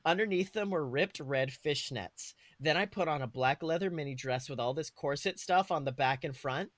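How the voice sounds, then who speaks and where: A man's voice: a little high, moderate pace, no echo. A man co-hosting a podcast, reading a story aloud in a theatrical, mock-earnest way. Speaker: podcast co-host. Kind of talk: comic dramatic read-aloud of fan fiction.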